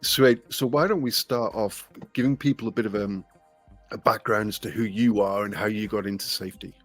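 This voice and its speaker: A man, hosting a casual podcast interview in a relaxed, friendly way, repeating phrases and filling pauses. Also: on the low side, dry recording, faint music behind. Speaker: podcast host.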